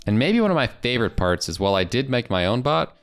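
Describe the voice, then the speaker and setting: A man in his twenties with an American accent, presenting a casual software demo into a close desk microphone in a small home studio. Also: medium pitch, very animated, quick pace. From United States. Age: 20s. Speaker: presenter of software review videos. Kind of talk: casual product demo narration.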